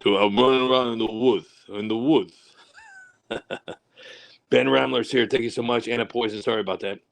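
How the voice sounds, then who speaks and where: A man with a lisp, chatting on a live stream from outdoors in the woods in a halting, rambling way full of fillers. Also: mid-range voice, steady tone, gravelly. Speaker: livestream host. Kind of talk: casual live-stream chat.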